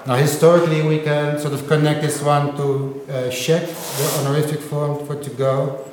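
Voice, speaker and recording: A man's voice: a little high, little pitch movement, normal speed, background noise. Man, Dutch first language, lecturing, frequent "right?", echoey room. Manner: explanatory, checks understanding with frequent "right?". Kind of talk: university lecture on linguistics.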